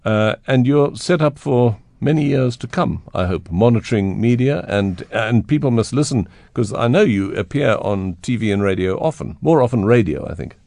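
A man's voice: mid-range voice, expressive, moderate pace, vocal fry, hardly any pauses, dark tone, dry recording. A man hosting a radio interview show, chatting with his guest in the studio. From South Africa. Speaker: radio host. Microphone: studio microphone.

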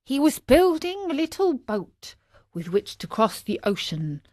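A woman voicing a large, helpful male pigeon in a storybook, speaking in a measured, matter-of-fact, inquiring way. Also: sits high, big pitch swings, darkish tone, no room sound, clean studio sound, pitched above the performer's own voice, exaggerated voice. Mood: informative. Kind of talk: storybook character voice.

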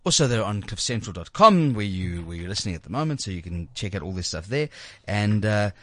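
A man's voice: lowish voice, sing-song, brisk, sharp tone, no room sound. A South African man hosting a radio show, chatting informally and reading sponsor plugs in an effusive, jokey way, often repeating himself. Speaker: radio host. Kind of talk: informal chat and live sponsor reads.

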